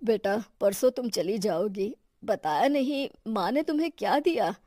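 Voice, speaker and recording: Woman playing gentle old cartoon father-in-law, mellow tone, mild, reassuring, generous, dry sound. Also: medium pitch, animated, normal speed, dry recording, lightly acted.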